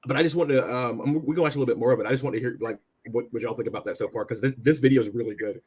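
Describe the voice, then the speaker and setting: Rambling American man with a husky voice. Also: mid-range voice, darkish tone. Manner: halting, rambling, agreeable. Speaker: political talk show panelist. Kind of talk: casual political chat.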